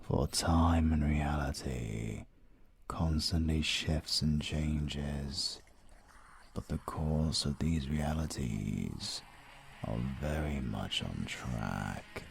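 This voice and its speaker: Man as a very deep, slow, solemn time keeper. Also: creaky, slight background noise, full character voice. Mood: portentous. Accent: British. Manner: grave, formal, measured, portentous. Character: ancient, all-seeing male keeper of time, solemn and mysterious. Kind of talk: audio roleplay voice acting.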